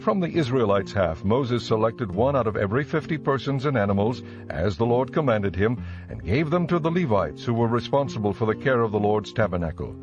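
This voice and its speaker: A man narrating scripture from a script in a measured, formal manner. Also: mid-range voice, sing-song, highs cut off, over music.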